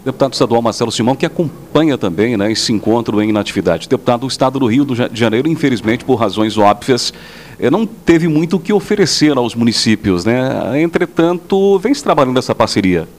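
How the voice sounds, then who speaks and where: A man's voice: medium pitch, lively intonation, quick pace, a little hiss or hum. A man working as a local reporter, interviewing politicians with leading questions.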